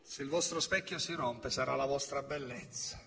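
A man in his fifties preaching a sermon from a church pulpit, swinging from loud exclamations to hushed storytelling. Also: medium pitch, moderate pace, noisy background. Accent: Italian. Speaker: pastor. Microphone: pulpit microphone on a stand.

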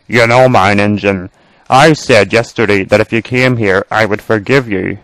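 Storybook villain: older male engine, lowish, menacing and scornful acted by a man, over music. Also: moderate pace, a bit bright, no room sound, poor audio. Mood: cool. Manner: cold, threatening.

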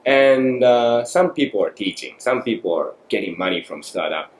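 Japanese man, forties, podcast guest, halting and reflective, echoey studio. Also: on the low side, lively intonation. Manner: thoughtful, halting, many fillers. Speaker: guest photographer. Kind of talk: podcast interview. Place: small studio room. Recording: echoey room, faint noise.

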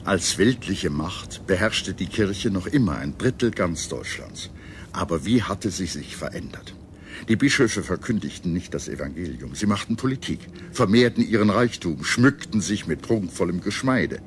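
A man reading scripted history documentary narration in a measured, solemn and dramatic manner. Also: low voice, lively intonation, slight background noise.

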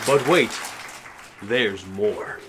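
A man's voice: on the low side, very slow, over a noisy crowd, very noisy. A young man playing an ominous, showy 1940s radio announcer with theatrical suspense. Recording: loud organ music behind, roomy.

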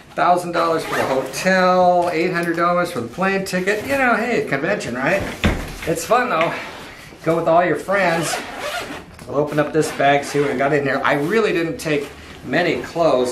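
A middle-aged man in a casual home vlog, chatty and enthusiastic as he unpacks, with salesy asides, recorded in a living room by a camera at some distance. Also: fairly high pitch. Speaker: toy car collector and dealer. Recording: echoey living room, faint background noise.

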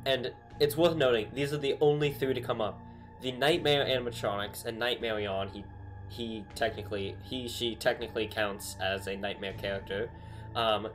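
Young male vlogger, casual and rambling, faint music bed. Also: medium pitch. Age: young adult. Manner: rambling, many ums and restarts. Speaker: YouTube host. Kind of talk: casual theory vlog. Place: small bedroom with shelves.

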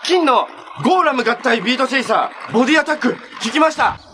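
Lively, bright-hearted young hero. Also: male voice, very high-pitched, fast, full character voice. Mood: excited, triumphant. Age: young adult. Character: cheerful, selfless young male hero, gentle and earnest. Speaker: actor in a superhero TV series. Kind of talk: natural TV drama dialogue. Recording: background noise, some reverberant scenes.

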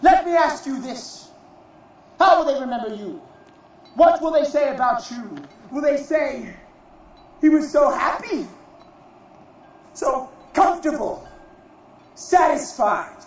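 A man performing a theatrical monologue as a proud, defiant warrior, solemn and declamatory, in deliberate phrases. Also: very high-pitched, expressive, full character voice. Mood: challenging. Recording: distant, very echoey, muffled recording.

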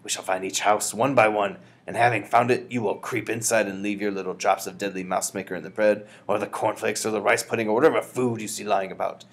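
A man's voice: lowish voice, fairly even intonation, fairly quick, hardly any pauses. A man voicing a feisty, bold old grandmother in a storybook, emphatic and commanding. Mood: grandly determined.

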